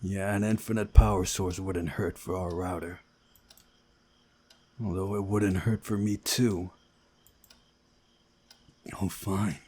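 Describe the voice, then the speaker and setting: A man reading a visual novel aloud as narrator, in a measured storytelling way with a husky voice. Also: low-pitched, fairly even intonation, warm tone. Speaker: gaming video narrator.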